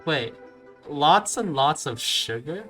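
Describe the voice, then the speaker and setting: A man's voice: fairly high pitch, expressive, takes it slowly, bright, forceful tone, faint music behind. A man giving casual, slangy let's play commentary, thinking aloud as he plays.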